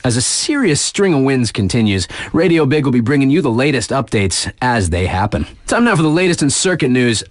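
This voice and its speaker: A man playing a hip, easygoing radio DJ in a video game, delivering snowboard circuit news with slangy, enthusiastic patter and emphatic drawn-out phrases. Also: mid-range voice, big pitch swings, no echo, studio quality, lightly acted. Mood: upbeat, cool.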